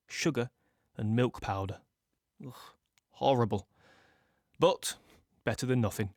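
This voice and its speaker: A man's voice: mid-range voice, no echo, studio quality. An actor with a British accent playing a cheerful young guardsman, telling army stories in a droll, instructional manner. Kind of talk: first-person audio play monologue.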